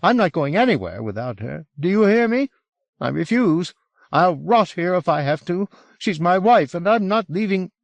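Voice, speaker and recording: Audiobook role: desperate, distrustful well-bred young English man, English accent, pitched high, animated and pleading. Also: a little slow, few pauses, no room sound, full character voice. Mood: defiant. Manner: agitated, pleading, breathy protests. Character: wretched, desperate, suspicious educated Englishman, under thirty. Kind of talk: audiobook character acting.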